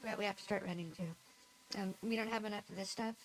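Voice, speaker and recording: A woman's voice: medium pitch, fairly even intonation, fast, a little hiss or hum, rough recording. A woman livestreaming a game, talking casually in a nasal voice, rambling and giggling at herself. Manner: rambling, giggly, self-deprecating. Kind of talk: casual gameplay chat.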